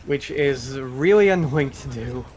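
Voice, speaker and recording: A man's voice: mid-range voice, measured pace, a little mellow, a little hiss or hum. Man doing casual live game commentary. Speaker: speedrun commentator.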